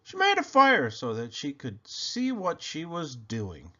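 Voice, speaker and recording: A man reading a children's picture book aloud expressively, with theatrical, deliberate phrasing, on slightly dull, lo-fi audio. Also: a little high, huge pitch swings, sharp tone.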